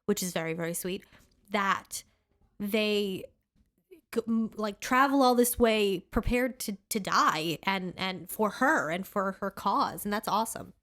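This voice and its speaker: A woman's voice: medium pitch, measured pace, fairly bright tone. A woman co-hosting a podcast in casual chat, sounding congested and rambling with lots of fillers. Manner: chatty, rambling with many fillers and restarts. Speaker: podcast co-host.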